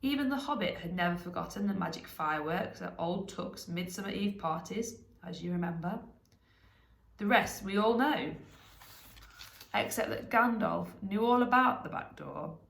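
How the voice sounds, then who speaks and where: A woman narrating a storybook aloud in an expressive reading style. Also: lowish voice, normal speed, gravelly, roomy sound.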